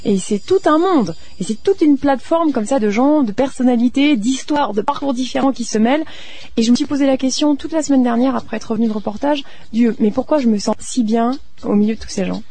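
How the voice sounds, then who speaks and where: A woman's voice: fairly high pitch, fairly quick, dark tone, husky. A woman from France hosting radio, telling her reporting stories in an informal, chatty way straight to the listener. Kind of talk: casual spoken storytelling and interviewing.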